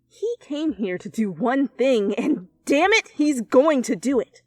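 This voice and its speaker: A woman giving an expressive, theatrical amateur reading of fan fiction as narrator. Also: high-pitched, measured pace, no room sound. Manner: animated, theatrical.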